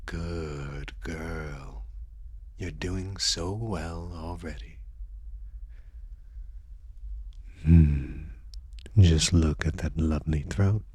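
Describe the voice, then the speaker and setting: Guy doing a possessive vampire master for asmr, husky low voice, condescending, slips into whispers. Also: warm tone, no echo, heavily acted. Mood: hungry, savoring. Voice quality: breathy, husky. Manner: slow, condescending, coaxing, often dropping into whispers. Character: sadistic, seductive male vampire master, dominant and possessive. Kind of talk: intimate ASMR roleplay audio drama.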